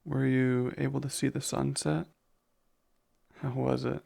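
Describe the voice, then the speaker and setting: A man acting as kind male vampire, very creaky voice, husky, tender, formal, soothing ASMR roleplay. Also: medium pitch, level tone, a little slow, a little mellow. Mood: gentle, attentive. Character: gentle, protective male vampire caretaker.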